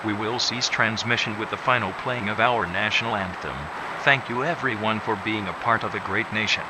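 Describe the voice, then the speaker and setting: An impassive government announcer played by a man reads an emergency alert in a fairly low voice. His delivery is official and measured, and heavy noise runs all through the broadcast. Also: close to an everyday voice. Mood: formal sign-off. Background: loud noise.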